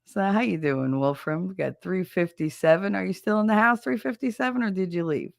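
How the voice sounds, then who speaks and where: Stuffy, gravelly New host. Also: medium pitch, fairly quick, no room sound, clean studio sound. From New Jersey. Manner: rambling, chatty, self-deprecating, greets chat viewers. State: stuffy, nasal from a cold. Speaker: livestream host. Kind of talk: casual live-stream chat.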